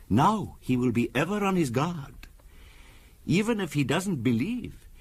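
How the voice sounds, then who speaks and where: An actor playing a weary, cautious spirit medium in a 1970s radio drama, speaking in a formal, measured, grave way. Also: male voice, medium pitch, husky.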